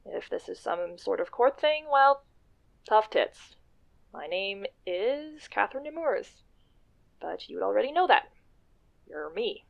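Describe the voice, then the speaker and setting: A self-conscious businesswoman in a radio play. Her voice sits slightly high, and she talks haltingly and wryly, often correcting herself. The recording is a bit dull and lo-fi. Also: vocal fry, exaggerated voice. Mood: awkward, wry. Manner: halting, self-interrupting, wry. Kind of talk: radio drama acting, halting confessional monologue.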